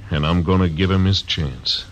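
Brief: actor as a deep-voiced lawman narrator, terse, music under old radio drama. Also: normal speed, dull audio, lightly acted. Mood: steely. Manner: laconic, clipped. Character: grim steady male frontier marshal. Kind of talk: 1940s radio acting.

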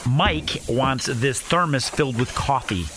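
A performer playing a dry, unhurried customer in a radio play. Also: mid-range voice, over loud music, close to an everyday voice. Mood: dry, matter-of-fact. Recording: noisy kitchen sound effects, pouring liquid.

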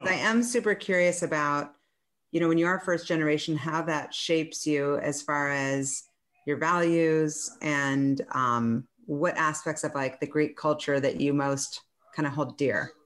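A woman in her forties hosts a casual podcast interview with a notably low voice. She is friendly and chatty, laughing easily between her questions. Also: fairly even intonation, a little slow, fairly bright tone. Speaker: podcast host.

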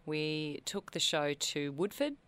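A woman's voice: low-pitched, big pitch swings, slow, fairly bright tone, clean tone. A woman, a comedy performer chatting as a radio guest, laughing easily and using many fillers. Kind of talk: casual radio interview.

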